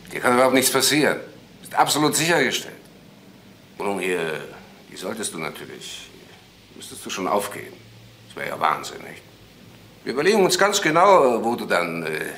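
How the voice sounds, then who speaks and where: An actor playing an impatient, condescending adult son with a brusque, patronising manner in a naturalistic crime drama. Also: male voice, on the low side, a bit bright, a little hiss or hum.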